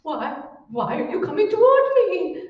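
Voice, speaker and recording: A woman playing a terrified, desperate wife in a melodramatic old-time radio horror play, speaking in halting, gasping repetitions. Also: very high-pitched, very animated, heavily acted. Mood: panicked. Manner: halting, gasping, repeating words. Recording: muffled, echoey hall, far from mic.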